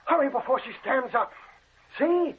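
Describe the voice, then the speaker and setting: A man's voice: extremely high pitch, lively intonation, moderate pace, slight background noise. An actor playing a guilty, frightened man in old-time radio horror, frantic and pleading in a melodramatic way. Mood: alarmed. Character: panicky, guilty man gripped by a monster. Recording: thin, muffled vintage radio recording.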